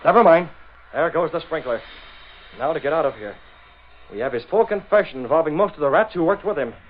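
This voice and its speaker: An actor playing a masked vigilante in an old radio drama, speaking in a clipped, commanding, deliberate way. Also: male voice, on the high side, expressive. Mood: brisk, businesslike. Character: masked male vigilante posing as menacing avenger, doubling as publisher. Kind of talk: 1930s-40s radio drama acting. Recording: tinny, band-limited old radio recording.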